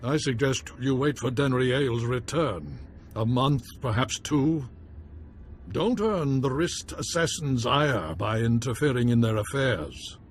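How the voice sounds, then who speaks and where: A man's voice: mid-range voice, animated, measured pace, faint music behind, natural voice. An actor playing a pompous, cautious nobleman in a video game, formal and dismissive in manner. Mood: haughty, guarded. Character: haughty, cautious nobleman baron, dismissive husband. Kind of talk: scripted video game acting.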